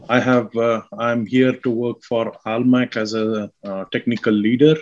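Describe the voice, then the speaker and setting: A man from South India with a South Indian accent, a guest scientist explaining slowly with many fillers and restarts. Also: medium pitch, dull audio. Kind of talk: explaining science in a casual chat.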